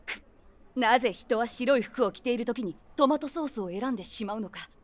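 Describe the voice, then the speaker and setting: A woman playing a nosy, wry middle-aged eavesdropper, narrating her inner thoughts with deadpan, teasing asides. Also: fairly high pitch, brisk, close to an everyday voice. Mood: amused, observing. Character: nosy, wry 46-year-old eavesdropping woman with wild imagination. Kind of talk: scripted comedic inner-monologue narration. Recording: music behind the voice.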